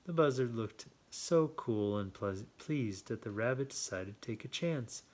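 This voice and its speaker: Expressive man reading a children's storybook. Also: medium pitch, measured pace. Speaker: children's story reader and host. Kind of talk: storybook read-aloud with chatty asides.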